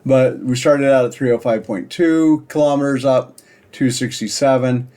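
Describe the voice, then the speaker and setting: Man giving a halting, informal tech demo. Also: mid-range voice, steady tone, warm tone. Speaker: presenter demonstrating a software project. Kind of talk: casual screen-share tech demo.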